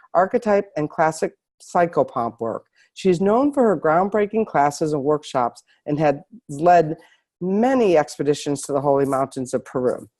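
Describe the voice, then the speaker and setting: A woman hosting an online interview, hoarse from a cold, often restarting her sentences. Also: low voice, dark tone. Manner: halting, with many restarts. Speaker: host.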